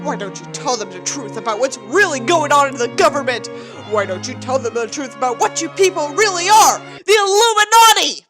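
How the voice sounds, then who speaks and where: Frantic conspiracy gunman played by a woman, loud, accusing, melodramatic storybook reading, wildly swinging pitch. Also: lively intonation, a little fast, music in the background. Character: frantic male gunman ranting about conspiracies.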